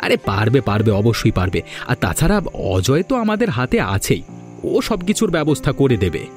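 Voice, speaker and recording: A man's voice: mid-range voice, very animated, brisk, faint music behind, close to an everyday voice. A man voicing a talkative, self-assured villager in a cartoon folk tale, conversational and emphatic. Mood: scheming, confident.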